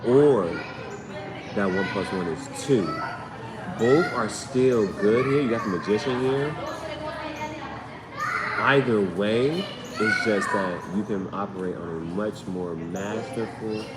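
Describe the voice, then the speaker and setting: Male tarot livestream host, lower-pitched, casual and emphatic with repeated phrases, loud crowd-like background noise. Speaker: tarot reader hosting a livestream. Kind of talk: casual live tarot reading, part read aloud and part improvised.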